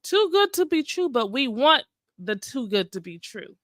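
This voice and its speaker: A woman's voice: high-pitched, very animated, normal speed, dry recording, clean studio sound. A woman co-hosting a podcast in casual conversation, speaking in an emphatic, colloquial way. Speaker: podcast co-host.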